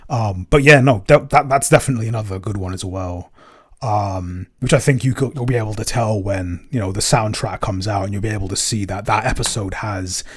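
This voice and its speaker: A man's voice: fairly low pitch, brisk, husky. A man co-hosting a casual podcast, talking hesitantly with many ums and restarts. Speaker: podcast co-host and composer.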